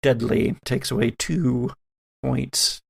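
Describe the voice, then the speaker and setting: A man's voice: mid-range voice, takes it slowly, gravelly, husky. A man acting as game master, narrating a tabletop role-playing game dramatically with lingering, descriptive phrasing.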